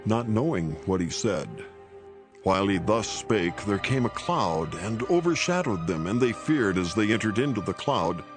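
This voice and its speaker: A man narrating scripture in a solemn, measured and formal reading style. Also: on the low side, expressive, music in the background.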